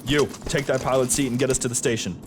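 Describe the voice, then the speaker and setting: A man's voice: mid-range voice, fairly even intonation, fast, noisy background, natural voice. A man playing a battle-scarred, defiant sergeant in a sci-fi audio drama, with a dry, firm manner. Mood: commanding. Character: battle-scarred male space marine sergeant, defiant, commanding. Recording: music behind the voice.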